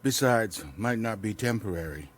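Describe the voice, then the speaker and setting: Low-voiced roguish raider. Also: male voice, expressive, normal speed. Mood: defensive. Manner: laconic, wry, swears. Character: roguish, cocky male raider and smuggler, hardened survivor. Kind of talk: naturalistic audio drama acting.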